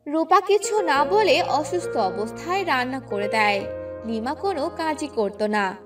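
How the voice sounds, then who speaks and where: A high-voiced woman narrates a cartoon tale at an unhurried pace. Her scripted storytelling swings widely in pitch, with music underneath. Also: animated, far from the mic.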